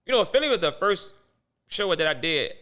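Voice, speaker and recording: A man's voice: sits high, sing-song, normal speed, sharp tone. A comedian from Baltimore with a Baltimore accent, chatting casually on a podcast and often repeating words as he starts. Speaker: comedian guest.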